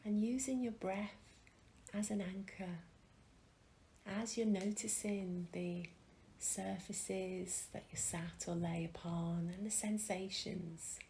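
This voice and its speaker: A woman leading a guided mindfulness meditation, speaking calmly and gently with long pauses and soft, breathy phrase endings. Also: medium pitch, rough recording. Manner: calm, gentle, unhurried with pauses. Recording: faint background noise.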